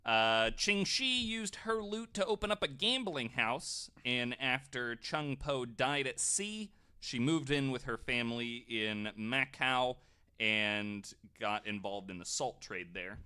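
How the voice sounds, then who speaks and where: A man's voice: mid-range voice, slow pace, bright, forceful tone, clean tone. A man hosting a podcast, telling a researched story in a casual, jokey, informal way. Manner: informal, swears, jokey asides.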